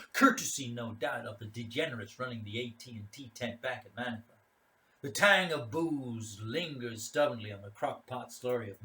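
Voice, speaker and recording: A man's voice: mid-range voice, bright, forceful tone. A man reading a literary short story aloud as a dramatic narration. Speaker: story reader.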